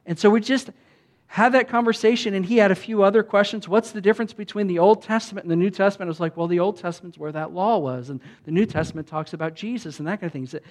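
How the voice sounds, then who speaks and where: A man's voice: sits high, fast talker. A man from the United States, a pastor, telling a story in a sermon and acting out the lines of the people in it. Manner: conversational, acts out quoted dialogue.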